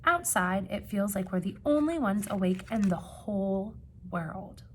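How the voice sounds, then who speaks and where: A woman reading a children's picture book aloud like a teacher, with expressive emphasis and questions put to young listeners. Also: mid-range voice, a little hiss or hum. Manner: expressive, dramatic gasps and emphasis, pausing to ask questions.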